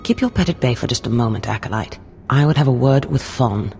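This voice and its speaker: A woman's voice: a bass voice, expressive, brisk, creaky, darkish tone, soft background music, heavily acted. A woman playing a poised, menacing, condescending Sith lord in a video game, with a theatrical, mock-sweet manner. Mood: cool command. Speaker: villainous mentor.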